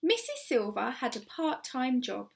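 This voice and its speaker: A woman narrates a children's storybook as a teacher would for a class. Her voice is somewhat high and rises and falls widely. She phrases things in a measured, dramatic way, stressing important words. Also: sing-song, gravelly. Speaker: teacher reading aloud to schoolchildren.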